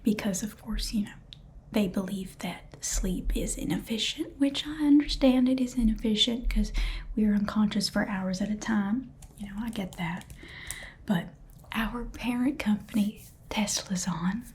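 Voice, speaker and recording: A woman voicing a sassy, chatty Southern saleswoman in a whispered ASMR roleplay, with soft keyboard typing behind her. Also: mid-range voice, measured pace, slight background noise, close to an everyday voice.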